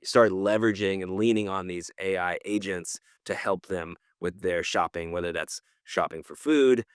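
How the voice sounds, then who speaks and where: A man's voice: sits low, normal speed, clear, resonant tone, no room sound, clean studio sound. A man co-hosting a podcast in casual conversation, giving slangy opinions and thinking aloud. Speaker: podcast co-host.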